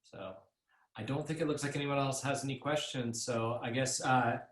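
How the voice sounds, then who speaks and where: A man's voice: medium pitch, steady tone, normal speed. A man in his thirties casually hosting an online session, recorded on a computer microphone in a room at home. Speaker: session moderator. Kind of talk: casual video-call hosting. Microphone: computer microphone at desk distance on video call. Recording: roomy, echoey video-call sound.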